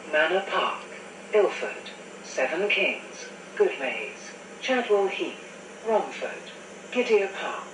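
A woman with a British accent reading formal, measured scripted train announcements with careful enunciation. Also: sits low, distant mic. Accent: British English. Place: inside trains, played over announcement speakers. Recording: noisy, echoey onboard speaker recording from afar.

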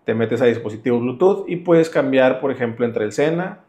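A man's voice: mid-range voice, lively intonation, a little fast, darkish tone. A man with a Mexican accent presenting a casual step-by-step tutorial, speaking directly to the viewer in an instructional way. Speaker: motorcycle vlogger and presenter.

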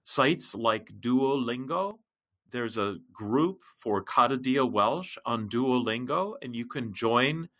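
Male facilitator on a computer mic, bright tone, medium pitch, hesitant delivery. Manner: halting, many fillers and restarts. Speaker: project facilitator. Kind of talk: hosting an online language class. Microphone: computer mic in a web meeting.

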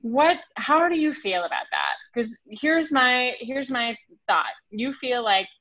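A woman in her thirties, from Switzerland with French as her first language, talking quickly and animatedly as a guest on a podcast interview over a video call. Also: sits high, no room sound. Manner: animated, quick, gestures with hands, acts out emails. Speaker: sales consultant guest. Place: home room on video call. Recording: dull, dry video call audio.

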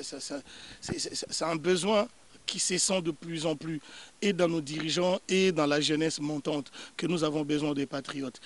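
A man in his thirties from Congo, a political analyst answering interview questions outdoors in a garden into a handheld mic, earnest, often repeating words as he searches for phrasing. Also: fairly high pitch. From Democratic Republic of the Congo. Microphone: handheld mic held by interviewer.

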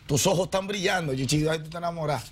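A man's voice: on the high side, fast, background noise. A man hosting a morning TV gossip segment in a studio, playful and theatrical, teasing his co-host in a sing-song way. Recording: TV broadcast with music behind.

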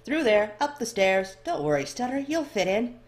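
A woman's voice: a little high, expressive, normal speed, pitched above the performer's own voice, natural voice. Amicable dwarf man, voiced by a woman, breezy, teasing, shrewd, fantasy audiobook. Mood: friendly, teasing. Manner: friendly, breezy.

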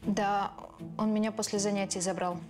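A woman's voice: medium pitch, normal speed, close to an everyday voice. A young woman playing a frightened, subdued student. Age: young adult. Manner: quiet, flat, hesitant replies. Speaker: student witness. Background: background music.

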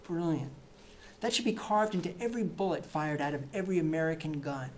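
A middle-aged man reading satirical excerpts to camera in a deadpan, mock-earnest way, in a plain indoor room. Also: fairly high pitch, fairly quick, slight background noise. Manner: mock-earnest, punchy, with gestures. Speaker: reader of satirical book excerpts.

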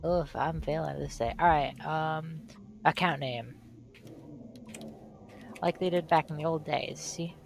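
A woman streaming a video game, chatting casually and reading on-screen text aloud haltingly while she loses her voice. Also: sits low, fairly even intonation, creaky, a little hiss or hum. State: losing her voice.

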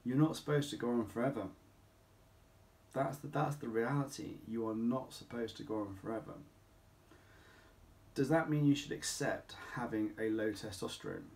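A middle-aged man speaking as a clinic doctor, explaining to camera in an emphatic, deliberate way with rhetorical questions. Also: mid-range voice, vocal fry, a little mellow. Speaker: doctor at a men's health clinic. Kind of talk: informal explainer talk to camera. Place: small home office or consulting room, indoors.

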